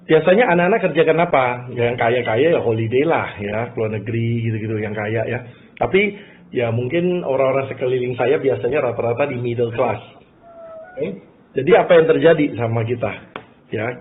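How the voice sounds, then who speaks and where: A man from Malaysia presents in an informal, conversational way, telling his own story. He keeps checking in with listeners with short tag questions. The sound is narrow and muffled, like a phone call. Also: mid-range voice. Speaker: business presenter. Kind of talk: informal motivational talk telling a personal story.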